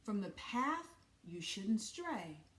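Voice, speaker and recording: A woman voicing a caring mother in a storybook, gently firm, affectionate and sing-song as she instructs. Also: mid-range voice, very animated, slow, recorded from a distance. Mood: affectionate, instructive. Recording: roomy, echoey sound.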